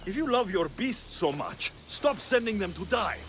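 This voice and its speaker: An actor playing a hot-tempered, blunt young Greek warrior, forceful and challenging, in a video game drama. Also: male voice, high-pitched, big pitch swings, moderate pace, over music. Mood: defiant.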